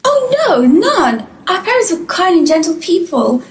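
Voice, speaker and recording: A woman's voice: extremely high pitch, sing-song, measured pace, echoey room, full character voice. An actress playing a polite, earnest, worried young woman in an audio play. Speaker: actor in an audio drama.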